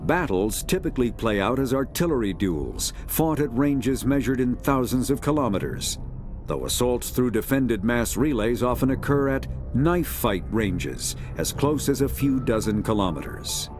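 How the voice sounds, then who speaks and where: A man narrating a science fiction game's encyclopedia in a measured, formal, authoritative documentary style. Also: medium pitch, lively intonation, darkish tone, lightly acted. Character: formal male narrator of a sci-fi codex. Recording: music behind the voice. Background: clear background music.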